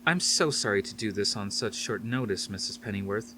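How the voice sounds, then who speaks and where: A man's voice: lowish voice, animated, brisk, pushed and bright, a little hiss or hum. A man reading an audiobook, voicing a flustered, apologetic young mother. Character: harried young mother on a sudden trip.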